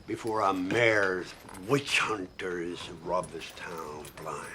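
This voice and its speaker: An actor playing a grim town tracker in a film, speaking in a deliberate way. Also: male voice, low-pitched. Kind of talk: film dialogue. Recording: noisy film clip.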